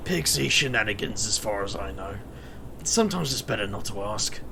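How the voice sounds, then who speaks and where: An actor playing a scatterbrained, playful, good-natured boss in a fantasy audio drama, stammering and jokey, with a gravelly edge. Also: mid-range voice, expressive, normal speed. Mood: casual, joking. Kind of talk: audio drama character acting. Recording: faint noise.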